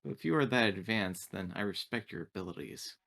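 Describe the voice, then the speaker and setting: A man voicing a polite, literal female android assistant in a tabletop game, speaking in a calm, formal, measured way. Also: low voice, creaky, exaggerated voice. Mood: matter-of-fact.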